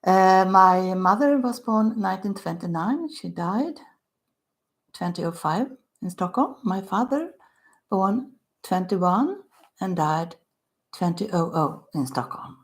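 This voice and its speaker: An older woman with a Swedish accent, speaking English in halting phrases, her voice dropping to a soft near-whisper at the ends of phrases as she talks about her own book. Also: mid-range voice, expressive. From Sweden. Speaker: author. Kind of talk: self-recorded book talk.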